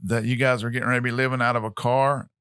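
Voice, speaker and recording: A man's voice: medium pitch, level tone, racing, fairly bright tone, clean tone, no room sound, clean studio sound. A pastor hosting a podcast interview, chatting casually and laughing often with his guests.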